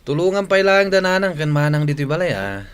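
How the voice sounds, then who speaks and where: A man's voice: on the high side, very animated, brisk, clear, resonant tone. A man acting a hearty, confident family man in an Ilocano radio drama. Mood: confident, reassuring.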